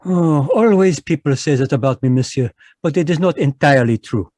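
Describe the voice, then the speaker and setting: Fussy Belgian detective, polite, French-accented, slightly high, close and dry. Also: male voice, lively intonation, a little fast, a little mellow, no echo. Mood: polite, shrewd. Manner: precise, polite, sprinkles French words. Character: fussy Belgian detective, middle-aged man. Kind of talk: radio drama read live over video call. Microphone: home microphone on a video call.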